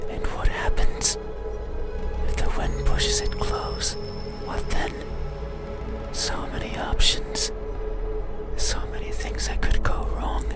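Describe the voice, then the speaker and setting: A man playing an eerie, lonely monster in an ASMR horror monologue, speaking in a breathy whisper with lingering pauses. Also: close to an everyday voice. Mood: musing, hesitant. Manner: slow, deliberate, with lingering pauses. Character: eerie, soulless male monster, lonely, musing and softly menacing.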